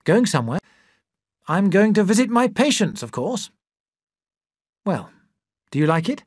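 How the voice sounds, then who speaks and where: A man's voice: high-pitched, very animated, unhurried, husky, dry recording, clean studio sound, voice pushed up, heavily acted. A man doing an eager, touchy young doctor. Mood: defensive. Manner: eager, defensive.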